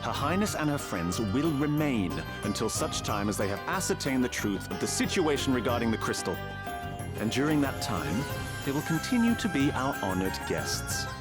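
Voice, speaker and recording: An actor playing a composed, dutiful young prince in a game, speaking formally and graciously. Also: male voice, medium pitch, sing-song, over loud music, natural voice. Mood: firm. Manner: formal, measured, firm. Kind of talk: dramatic video game voice acting.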